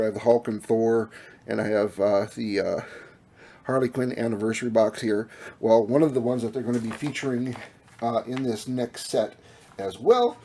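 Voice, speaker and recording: Man losing his voice, mellow tone. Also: medium pitch, slight background noise. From Minnesota. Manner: rambling, many fillers and restarts. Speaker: comic book vlogger. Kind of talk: casual show-and-tell vlog. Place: home room with collectibles.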